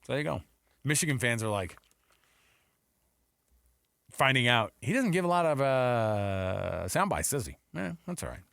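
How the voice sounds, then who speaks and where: A man's voice: medium pitch, big pitch swings, unhurried, vocal fry, pauses often, fairly bright tone, no room sound, studio quality. A middle-aged man hosting casual sports talk radio, telling stories in a jokey way and acting out mock quotes.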